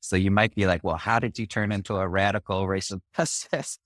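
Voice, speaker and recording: Low-voiced reflective man from Singapore. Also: fairly even intonation, a bit bright, no echo, studio quality. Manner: reflective, measured, with frequent fillers. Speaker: podcast guest, community organizer. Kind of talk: conversational storytelling interview.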